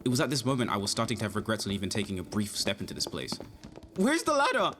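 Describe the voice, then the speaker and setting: Fast-talking, animated man as an anxious young detective. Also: on the low side, slight background noise. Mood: wry narration, then flustered protest. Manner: flustered, stammering, protesting, precise wording. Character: anxious, earnest, well-off young male amateur detective narrating his story. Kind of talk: audio drama acting with first-person narration.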